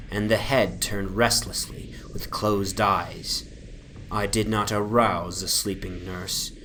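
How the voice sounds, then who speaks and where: A man giving a solemn, deliberate dramatic narration of a literary horror story over an ambient soundscape. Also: fairly low pitch, fairly bright tone, slight background noise, low-quality recording.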